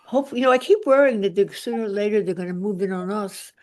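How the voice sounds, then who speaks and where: An older woman with a mid-pitched voice talks casually among a group. She is blunt and tends to ramble, circling back on her own sentences. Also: very fast, a little mellow.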